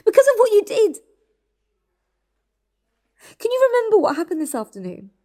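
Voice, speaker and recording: A woman's voice: very high voice, huge pitch swings, normal speed, warm tone, heavily acted. An actress playing an anxious, insistent British woman in a naturalistic audio drama. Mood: urgent, pleading. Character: anxious, insistent woman questioning her relatives in hospital.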